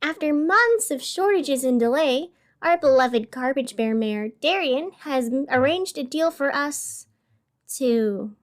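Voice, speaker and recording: High-voiced sardonic woman radio host played by actress. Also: expressive. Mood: wry. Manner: sardonic, theatrical, pausing. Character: wry young female city radio host, bitter and wounded. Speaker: fictional radio show host. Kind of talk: scripted audio drama monologue.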